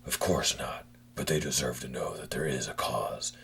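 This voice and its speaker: A man playing a grim masked vigilante, grave and commanding yet reassuring, in a gravelly near-whisper for an audio drama. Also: rumbling low, a bit bright, full character voice. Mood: urgent, reassuring. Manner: grave, deliberate, urging.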